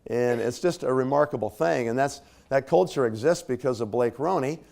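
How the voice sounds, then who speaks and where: A man with a slightly scratchy voice, clearing his throat now and then, gives a conversational leadership talk to a live audience. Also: medium pitch, normal speed, a little mellow. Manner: conversational, casual fillers and tag questions. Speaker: speaker at a leadership training.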